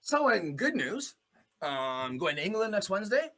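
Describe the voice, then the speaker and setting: A man's voice: sits high, big pitch swings, normal speed, fairly bright tone. A man hosting a solo commentary show, delivering a blunt, off-the-cuff opinionated monologue with free use of swearing.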